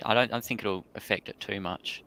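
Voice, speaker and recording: A man's voice: fairly low pitch, fairly even intonation, a little fast, sharp tone, low-quality recording. A man chatting casually as a panelist on a hobby livestream, informal, slangy and enthusiastic.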